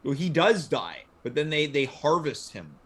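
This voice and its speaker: A man's voice: a little high, very animated, moderate pace, husky. A man hosting a casual livestream chat show, steering the conversation. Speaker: show host.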